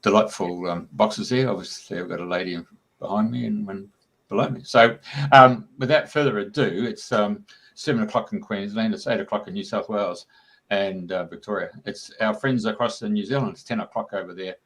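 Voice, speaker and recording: A man opens a radio show with a loose introduction full of fillers. His voice sits a little low. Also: a little fast, fairly bright tone. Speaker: radio host. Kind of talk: show opening.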